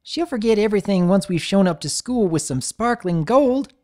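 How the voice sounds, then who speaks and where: A woman's voice: medium pitch, lively intonation, moderate pace, mellow, pitched above the performer's own voice, lightly acted. A woman voicing a cheerful country farm girl pony with a folksy drawl.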